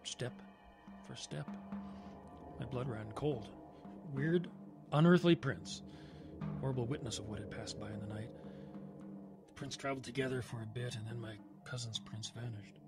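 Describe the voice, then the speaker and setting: A man's voice: mid-range voice, over music, close to an everyday voice. Actor as a haunted woodsman telling a horror tale. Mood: dread, awe. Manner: halting, dramatic, with swelling emphasis. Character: haunted male woodsman survivor recounting a terror. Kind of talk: dramatic first-person horror storytelling, audio drama.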